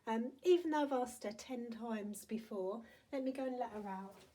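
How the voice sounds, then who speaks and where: A woman with a croaky, hoarse voice giving a chatty, friendly craft-along tutorial at home, with lots of ums. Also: on the high side, moderate pace, mellow, a little hiss or hum. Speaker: paper craft demonstrator and product seller.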